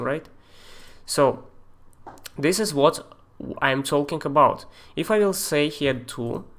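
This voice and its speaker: A man with a Russian accent walks viewers through software on a live stream. He talks in a halting way, with fillers and loose non-native grammar, and his pitch sits in an ordinary middle range. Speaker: software tutor. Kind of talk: live-streamed screen tutorial.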